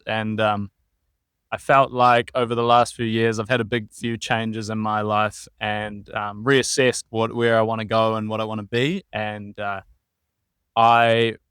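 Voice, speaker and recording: A young man with a New Zealand accent, a podcast guest telling his travel story in a relaxed, meandering way with frequent ums. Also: mid-range voice, smooth, dry recording, clean studio sound. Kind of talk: casual interview chat.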